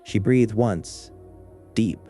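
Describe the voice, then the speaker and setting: Bright-voiced man narrating an eerie story. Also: fairly low pitch, drawn-out words, soft background music. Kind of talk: scripted first-person horror story narration.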